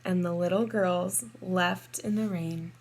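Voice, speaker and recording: A woman reading a children's storybook aloud in a measured, deliberate and expressive way, drawing out words. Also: fairly low pitch, a little hiss or hum, rough recording. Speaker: children's storybook reader, teacher. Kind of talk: read-aloud storybook narration for young children.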